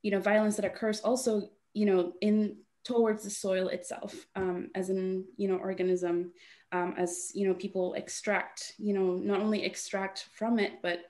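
A young woman from Honduras, a guest artist talking on a video call in a halting way, full of fillers and restarts. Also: medium pitch, level tone, a little mellow. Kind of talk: casual webinar talk.

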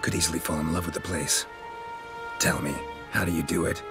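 An actor playing a gruff, stoic, sardonic monster hunter in a video game, with a low gravelly voice and clipped deadpan delivery. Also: male voice, brisk, over music, lightly acted. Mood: sardonic, challenging.

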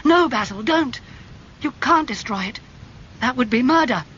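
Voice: medium pitch, expressive, moderate pace, bright, forceful tone, muffled recording, a little hiss or hum, pitched above the performer's own voice. A reader doing a vain, desperate young man. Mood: impassioned. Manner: impassioned, pleading.

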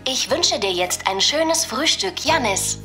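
A woman's voice: sits high, lively intonation, measured pace, pushed and bright, full character voice. A woman playing an insistent, formal nanny app assistant in a children's radio play. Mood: polite, insistent. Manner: stilted, formal, over-articulated machine phrasing. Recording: music behind the voice.

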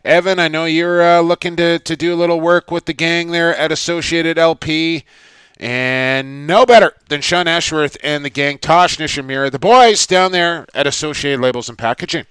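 A man's voice: a little high, fairly even intonation, moderate pace, a bit bright, clear, resonant tone, dry recording. A man co-hosting a podcast, chatting casually and telling long self-deprecating stories. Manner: rambling, self-deprecating storyteller. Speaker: podcast co-host.

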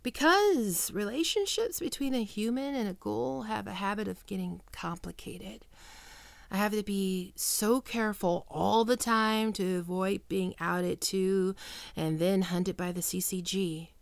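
A woman playing a guilt-ridden, tender young ghoul best friend in an intimate ASMR roleplay, speaking softly and haltingly with emotion. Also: medium pitch, vocal fry, dry recording. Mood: hesitant, nervous. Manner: soft, hesitant, emotional, halting.

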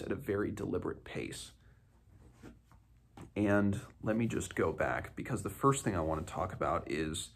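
Deep, gravelly young man, musing aloud. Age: 20s. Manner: musing, halting, thinking aloud. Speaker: book reviewer, host of a read-along. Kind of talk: casual, unscripted book discussion. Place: small home room, indoors.

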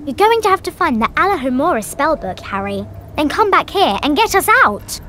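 A woman playing a bossy, bookish young schoolgirl in a video game, with a British accent and a brisk, precise, know-it-all manner. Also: sits high, sing-song, pushed and bright, slight background noise, full character voice. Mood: explaining.

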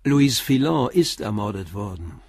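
An actor playing a persistent, probing police inspector in a crime radio play, questioning in a terse, insistent way. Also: male voice, on the low side, very animated, measured pace, darkish tone, slightly rough, dry recording, clean studio sound, heavily acted. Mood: pressing.

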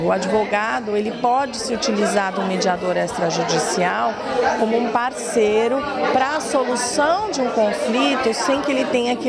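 A woman in her forties, a mediation lecturer, giving an interview on a handheld mic in a lecture room, measured and explanatory with brief hesitations. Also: fairly high pitch, gravelly. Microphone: handheld mic, close framing.

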